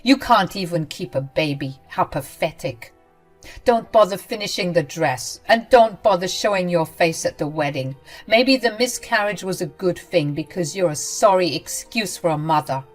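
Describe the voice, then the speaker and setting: A woman voicing a cruel, scornful older mother-in-law who yells and sneers. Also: animated, moderate pace, faint music behind. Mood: cutting. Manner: shrill, contemptuous, yelling.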